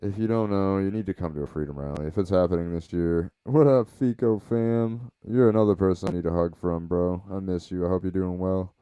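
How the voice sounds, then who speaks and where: Male podcast host, deep voice, relaxed rambling delivery kept low, dry close sound. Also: brisk, few pauses, clean tone, no echo, lo-fi sound. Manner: rambling, laid-back, talking low. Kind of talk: casual reflective chat. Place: home, baby sleeping nearby.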